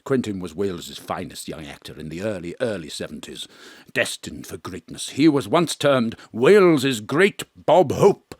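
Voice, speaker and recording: A pompous veteran stage actor, played by a man in an audio drama, bombastic and theatrical. His husky voice leaps all over the place between booming and hushed. The sound is dry and close. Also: very animated, moderate pace, no echo. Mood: melodramatic. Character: pompous grand old male stage actor, florid and melodramatic. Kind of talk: live remote audio drama acting. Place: home studio.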